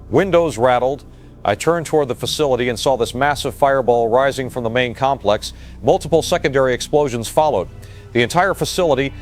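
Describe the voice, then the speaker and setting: A man's voice: medium pitch, lightly acted. Male on-scene reporter, acted, average register, shaken and descriptive, apocalyptic radio drama with light music. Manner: hesitant, grave, descriptive. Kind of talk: scripted eyewitness news report in audio drama.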